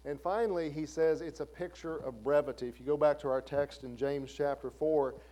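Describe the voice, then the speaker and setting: A man's voice: fairly high pitch, steady tone, normal speed, dark tone. A man in his fifties preaching a sermon in a conversational way, asking the listeners questions. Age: 50s. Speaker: pastor.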